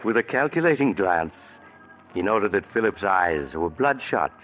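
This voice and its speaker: A very deep male voice giving measured, ominous narration for a 1940s radio thriller, his pitch still moving expressively. Faint music plays under the old broadcast sound. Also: animated, a bit bright.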